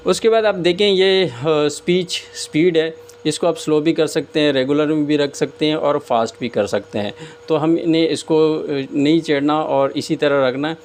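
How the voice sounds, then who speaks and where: A man's voice: on the high side, fairly even intonation, normal speed, hardly any pauses. Man narrating over noticeable background noise. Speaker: tutorial host. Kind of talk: casual step-by-step screen tutorial narration. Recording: clear background noise, room ambience.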